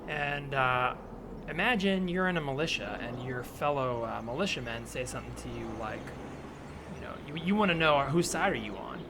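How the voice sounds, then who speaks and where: A man's voice: mid-range voice, expressive, moderate pace, close to an everyday voice. Man playing an earnest militia man over noisy ambience. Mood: earnest, probing. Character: earnest, provocative right-wing militia man, self-styled historian. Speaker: improv comedian. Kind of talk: improvised comedy scene, conversational. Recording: clearly noisy, added ambient soundscape. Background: ambient sound effects.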